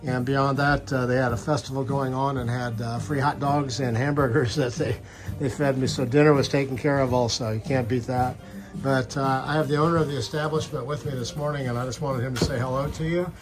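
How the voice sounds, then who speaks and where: An older man with a mid-pitched voice vlogs casually on a long hike. He talks in a relaxed, halting way full of uhs. He holds a phone at arm's length outdoors, with clear music laid under his voice. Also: low-quality recording. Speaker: long-distance hiker vlogger. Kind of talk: casual vlog to camera. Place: outdoors in a small town.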